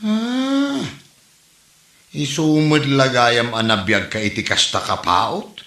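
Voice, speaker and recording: A bright, very animated man acting as a hapless son in an Ilocano comedy drama. He whines and gets defensive with an Ilocano accent, in an echoey room. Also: mid-range voice, slow, full character voice. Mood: whiny complaining. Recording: roomy echo, faint music.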